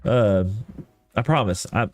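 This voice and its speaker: A man's voice: fairly low pitch, takes it slowly, no room sound. A man from East Texas with a Texan accent, chatting casually and rambling in a folksy, self-deprecating way while livestreaming a game. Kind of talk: casual gaming stream chat. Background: soft lofi music.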